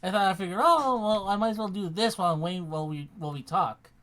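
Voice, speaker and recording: A man's voice: high voice, fast, a bit bright. A man chatting casually while playing a video game, swearing and repeating himself in a distracted way. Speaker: player on a video game stream. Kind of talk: casual chat while gaming.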